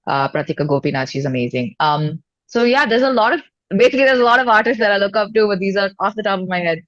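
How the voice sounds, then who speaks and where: A woman's voice: mid-range voice, animated, fast, muffled recording. A woman with an Indian accent talking informally and effusively as a guest in a casual interview. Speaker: singer-songwriter guest.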